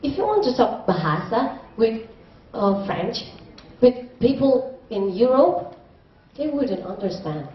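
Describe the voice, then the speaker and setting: High-voiced Indonesian teen girl, roomy hall. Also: very animated, slow pace. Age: teens. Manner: emphatic and declamatory in the speech, hesitant when answering. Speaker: speech contest contestant. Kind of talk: prepared speech, then answering a judge. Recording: distant roomy hall mic, dull sound.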